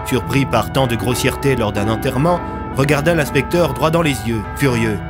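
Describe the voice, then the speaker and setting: A man's voice: medium pitch, brisk. A young man narrating a scripted audio story as its storyteller. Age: young adult. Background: music.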